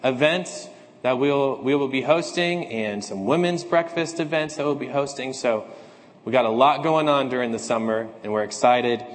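Pastor, male, slightly hoarse, earnest and measured, mid-pitched, church hall reverb. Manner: earnest, measured, with fillers in announcements. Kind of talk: scripture reading, prayer and church announcements. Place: church sanctuary.

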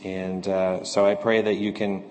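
A slightly hoarse man speaking as a pastor, reading scripture, praying and giving announcements in a measured, earnest way. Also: low voice, flat delivery, darkish tone, in a large hall. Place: church sanctuary.

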